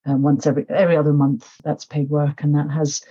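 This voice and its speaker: A woman's voice: low-pitched, little pitch movement, fast talker, warm tone, slightly rough, muffled recording. A middle-aged woman from Nepal, an author talking reflectively in a casual interview, with many fillers and restarts. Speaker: author, podcast guest.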